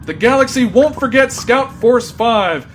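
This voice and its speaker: A man's voice: very high-pitched, lively intonation, a little slow. A man giving grandiose, tongue-in-cheek scripted narration in a mock-epic dramatic style. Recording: music bed behind voice.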